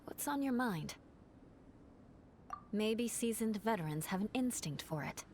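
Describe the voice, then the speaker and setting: A woman voicing a cool, formal young knight who is quietly caring, in a video game. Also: mid-range voice, animated, a little hiss or hum, natural voice. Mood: guarded, thoughtful. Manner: formal, measured wording.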